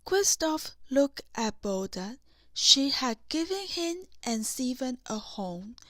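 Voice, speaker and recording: A woman narrating a scripted children's storybook in deliberate, separate phrases. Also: high-pitched, big pitch swings, fairly bright tone, dry recording. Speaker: audiobook narrator.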